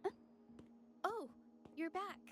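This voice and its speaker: Very high, gentle young woman. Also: takes it slowly, full character voice. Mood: surprised, hesitant. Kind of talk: video game voice acting. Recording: game music behind.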